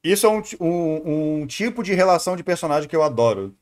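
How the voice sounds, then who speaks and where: Homem brasileiro falando bem rápido, informal. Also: male voice, on the high side, expressive, quick pace. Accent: Brazilian. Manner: informal, slangy, argumentative. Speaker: streamer reacting to a video. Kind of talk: casual reaction commentary.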